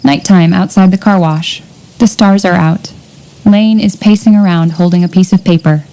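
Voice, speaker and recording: A woman's voice: lowish voice, steady tone, moderate pace, dark tone, natural voice, poor audio. A woman reading stage directions as scripted narration for an audio drama.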